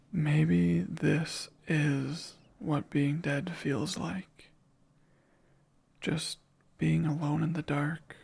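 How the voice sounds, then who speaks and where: A man voicing a possessive male sleep paralysis demon in an ASMR roleplay, whispering slowly and teasingly in a gravelly, breathy voice. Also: a little high, heavily acted. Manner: hushed close whispering, slow and teasing.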